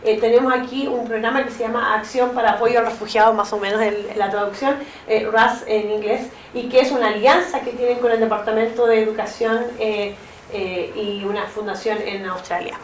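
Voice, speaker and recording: A woman interpreting a lecture, speaking quickly with frequent "eh" fillers and "¿cierto?" tags. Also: a little high, steady tone, a little hiss or hum. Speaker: interpreter and moderator. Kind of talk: consecutive interpreting. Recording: echoey room.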